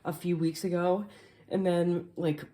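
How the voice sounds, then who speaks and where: A woman talks through a tarot spread in a loose, chatty voice-over, dropping plenty of fillers along the way. Her voice sits low and mellow. It has a slightly stuffy, hoarse edge from allergies. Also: flat delivery, measured pace. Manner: chatty, filler-heavy, frequent "like" and "um". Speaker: tarot reader. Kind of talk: casual tarot reading voice-over. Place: home, voice-over behind the camera.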